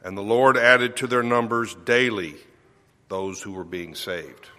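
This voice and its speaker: Slow, low-voiced man reading scripture. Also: pushed and bright. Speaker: scripture reader. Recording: church hall reverb.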